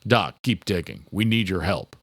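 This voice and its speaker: A man's voice: low voice, sing-song, normal speed, vocal fry, fairly bright tone, husky, no echo, heavily acted. A man voicing a protective, resolute brother in a thriller audiobook, giving terse, firm orders. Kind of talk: horror thriller audiobook character.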